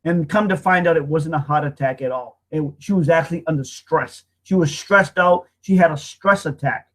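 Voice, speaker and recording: Man in his fifties, slightly higher voice, emotional personal testimony, halting delivery with frequent restarts. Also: fairly bright tone. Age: 50s. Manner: emotional, halting, repeats himself. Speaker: host telling his life story.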